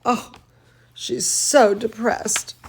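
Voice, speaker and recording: A woman reading a bedtime storybook aloud to children, expressive and cooing, speaking as if to very young listeners. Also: fairly high pitch, very slow, creaky, a little hiss or hum. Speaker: children's storyteller, dance studio teacher.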